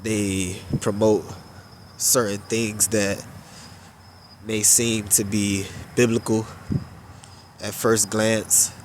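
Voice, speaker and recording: A man with a stuffed-up, nasal voice giving unhurried religious commentary, drawing out his phrases with filler pauses. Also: fairly low pitch, flat delivery, slight background noise.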